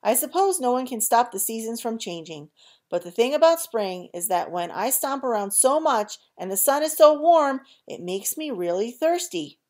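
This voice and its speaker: A woman's voice: fairly high pitch, sing-song, moderate pace, a little mellow, voice pushed up. A woman doing a grumbling winter-loving rabbit. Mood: won over. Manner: grumbling, complaining. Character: grumpy young rabbit who loves winter. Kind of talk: storybook read-aloud.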